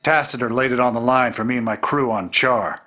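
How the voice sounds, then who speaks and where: A man playing a gruff, loyal human space captain in a video game, plain-spoken and informal. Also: medium pitch, fairly quick, bright, forceful tone. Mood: determined.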